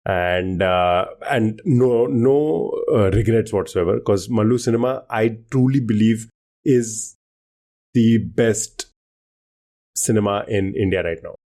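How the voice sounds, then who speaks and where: A man's voice: on the low side, slow, dark tone. A man whose first language is Hindi, co-hosting a podcast in casual, enthusiastic chat with lots of fillers and restarts. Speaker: podcast co-host.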